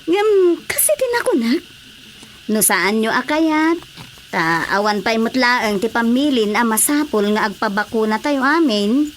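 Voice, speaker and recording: A woman's voice: on the high side, expressive, a little slow. A woman playing a concerned, persuasive village councilor in an Ilocano Filipino radio drama, coaxing and insistent. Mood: worried, insistent. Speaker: voice actor. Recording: faint background noise.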